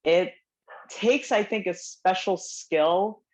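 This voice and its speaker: A woman's voice: on the low side, takes it slowly, darkish tone. A woman in her forties, raised in New Jersey and, chatting casually as a playwright guest from home, full of ums and self-deprecating asides. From South Korea, raised in New Jersey. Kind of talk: casual interview chat. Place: home, dog barking nearby. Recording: dull lo-fi call audio.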